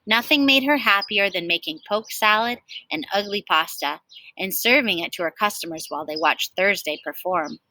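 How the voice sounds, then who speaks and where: A woman's voice: mid-range voice, moderate pace, sharp tone, slight background noise, poor audio. A woman reading a children's storybook aloud expressively, like a teacher, with emphatic stresses and chatty asides.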